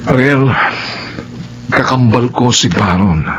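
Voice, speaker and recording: An actor playing an innocent, easily flustered boyfriend in a melodramatic Tagalog drama, with earnest, hesitant delivery. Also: male voice, medium pitch, takes it slowly, a little hiss or hum, lightly acted, poor audio. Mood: explaining. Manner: stammering, earnest. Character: flustered, innocent boyfriend wrongly accused, pleading.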